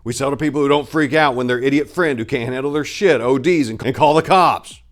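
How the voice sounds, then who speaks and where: A man's voice: medium pitch, fairly quick, voice pushed up, lightly acted. A man voicing a domineering, explosive younger crime boss in a crime story, commanding and profane as he interrogates. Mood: angry.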